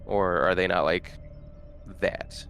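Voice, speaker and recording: A man's voice: low voice, steady tone, slow, fairly bright tone, faint music behind. A man playing a tabletop game, talking in a casual, conversational way. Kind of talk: casual chat. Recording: background noise on the mic.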